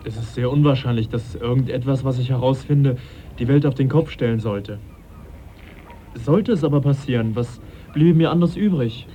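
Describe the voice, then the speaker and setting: An actor playing a thoughtful young gentleman naturalist in a German radio play, courteous and measured with a quiet irony. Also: mid-range voice, natural voice. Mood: measured, ironic. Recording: dull, noisy 1980s radio recording.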